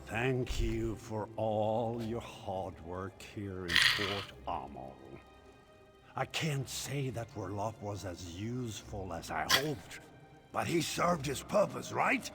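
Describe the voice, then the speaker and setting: A man's voice: fairly low pitch, animated, music in the background. An actor playing a smug, gloating usurper villain in a fantasy game, mocking and theatrical, drawing out his words. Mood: smug, preening. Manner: mocking, drawn-out, gloating delivery.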